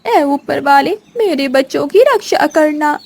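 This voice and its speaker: A woman's voice: high-pitched, expressive, measured pace. A woman voicing a lazy mother crow in a children's cartoon, whiny and pleading in a dramatic way. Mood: worried. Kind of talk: Hindi children's cartoon voice acting.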